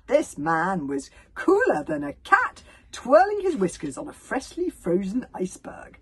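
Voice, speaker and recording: A woman's voice: medium pitch, sing-song, normal speed, darkish tone, slight background noise. A woman voicing a hearty, easily impressed male Viking chief in a storybook, eager and full of exclamation. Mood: amazed.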